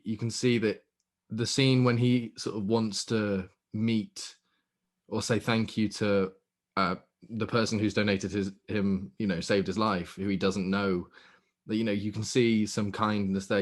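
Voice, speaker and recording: A man's voice: on the low side, flat delivery, normal speed. A man giving casual notes as an actor in a script discussion, rambling tentatively through his thoughts.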